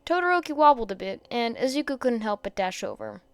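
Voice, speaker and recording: A woman reading fan fiction aloud in an expressive storytelling style, with a clear voice. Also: on the high side, a little fast, no room sound.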